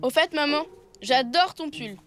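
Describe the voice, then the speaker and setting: A woman's voice: sits high, lively intonation, a little slow, fairly bright tone, a little hiss or hum. A woman playing an anxious, mischievous schoolboy elephant in a children's cartoon, trying hard to be good. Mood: earnest. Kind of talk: French-dubbed children's cartoon acting.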